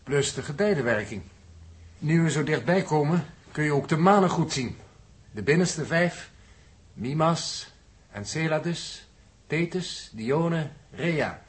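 An actor playing a polite, bookish space scientist in a 1960s Dutch radio play, explaining things in a formal, exact way with a Netherlands accent. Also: male voice, on the high side, lively intonation, takes it slowly. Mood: calm, instructive. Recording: 1960s radio drama recording.

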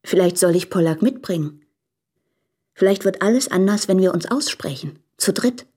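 A woman's voice: mid-range voice, lively intonation, moderate pace, warm tone, breathy edge. An actress playing a woman in love, earnest and pleading, in an intimate radio drama. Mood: yearning. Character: yearning, urgent woman in love, pleading by letter.

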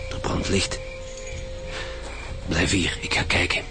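Chief detective, low voice, varied pitch, commanding, probing, radio play, loud music. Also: male voice, flat delivery, slow. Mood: urgent, commanding. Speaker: homicide detective. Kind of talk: radio drama acting.

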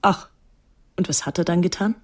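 An actress playing a reflective daughter who narrates a literary radio drama in a measured, introspective way. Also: female voice, on the low side, darkish tone, slightly rough, dry recording, clean studio sound. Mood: guarded. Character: reflective daughter recalling her reclusive painter mother.

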